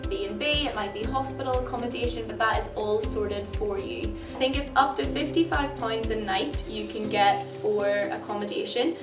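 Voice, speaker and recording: A woman's voice: mid-range voice, echoey room. Chatty young woman student, acts out quotes, far-off mic, music behind. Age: young adult. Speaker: physiotherapy student. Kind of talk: casual talk to camera.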